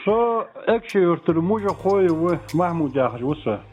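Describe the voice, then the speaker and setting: A man's voice: sits high, lively intonation, a little slow, slightly rough. A man speaking natively, talking informally and argumentatively as a phone caller asking questions. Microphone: telephone. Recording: tinny phone line, music behind. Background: music.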